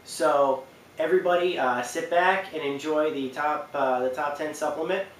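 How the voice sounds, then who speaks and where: A man in his twenties hosting a video, talking casually and a little haltingly to the camera. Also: fairly high pitch. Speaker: video host presenting a ranking. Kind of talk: casual unscripted talk to camera. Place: small indoor living room on a couch. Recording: roomy, distant mic, faint noise.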